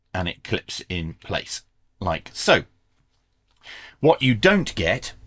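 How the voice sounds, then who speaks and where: A man's voice: lowish voice, a bit bright. A man presenting an unboxing product review in a plain-spoken, informal, opinionated way. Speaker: reviewer and presenter of radio gear.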